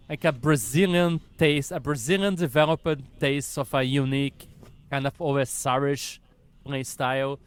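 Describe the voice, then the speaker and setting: A man hosting a casual podcast conversation, animated and chatty, with lots of "you know" fillers and occasional swearing. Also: medium pitch, clear, resonant tone. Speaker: podcast host.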